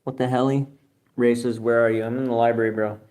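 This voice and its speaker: A man's voice: mid-range voice, little pitch movement, brisk, darkish tone. A man hosting a casual live stream from his phone, reading viewer comments aloud in a rambling, slangy way, swearing casually and spelling names out letter by letter. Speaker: livestream host.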